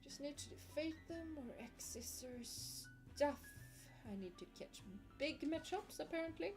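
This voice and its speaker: A woman streams a video game, chatting along casually and muttering little asides to herself. Her voice sits a bit higher than most. Soft game music plays behind her. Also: expressive, measured pace. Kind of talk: casual gameplay commentary.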